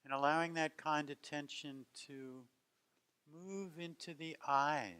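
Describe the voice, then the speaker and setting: Man gently guiding a meditation. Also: on the high side, fairly even intonation, smooth. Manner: gentle, unhurried phrases with long pauses. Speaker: meditation teacher. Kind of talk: guided meditation.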